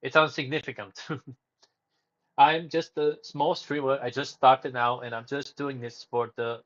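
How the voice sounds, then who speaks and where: Man, small streamer talking solo; wandering, self-correcting delivery at a middle pitch, slightly muffled audio. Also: little pitch movement, a little slow, fairly bright tone. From Romania. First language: Romanian. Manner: rambling, self-correcting, drifts off topic. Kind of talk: casual solo livestream chat.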